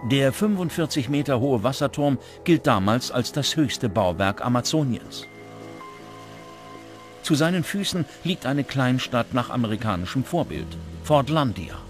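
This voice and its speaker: A man narrates a documentary from a script. His voice sits at a middling pitch, with music clearly audible behind him. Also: animated, measured pace. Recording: music under the voice. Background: background music.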